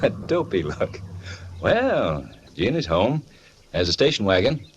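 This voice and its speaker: An actor playing a hearty, patronizing doctor husband, affectionate but dismissive, in 1940s radio drama style. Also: male voice, fairly low pitch, sing-song, measured pace. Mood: teasing, dismissive. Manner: chuckling condescension, glib and hearty. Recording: noisy old radio recording.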